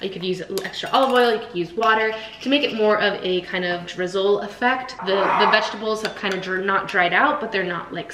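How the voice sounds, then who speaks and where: A woman's voice: medium pitch, fairly bright tone, slight background noise. Chatty young woman in an echoey kitchen. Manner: chatty, enthusiastic, lots of "like" and "so many". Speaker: cooking channel host. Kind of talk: casual cooking tutorial. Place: home kitchen, indoors.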